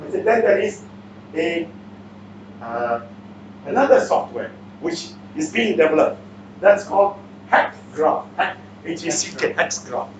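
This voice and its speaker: A man's voice: a little high, lively intonation, a little slow, pauses often. A man with a Malaysian or Singaporean accent giving a motivational seminar talk, bluntly challenging his listeners with questions. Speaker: seminar speaker. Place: indoor seminar room. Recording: echoey room, distant mic, muffled, faint noise.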